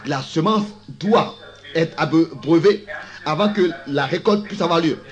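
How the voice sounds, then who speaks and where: A hoarse man preaching a sermon in a school auditorium, speaking with a slow, halting delivery and frequent restarts. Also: fairly high pitch.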